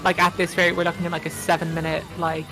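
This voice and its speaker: A woman's voice: lowish voice, flat delivery, fast, music in the background, low-quality recording. A woman giving casual, joking live commentary on a video game speedrun in a chatty, playfully mock-dramatic way. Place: live online stream commentary, game audio behind the voice.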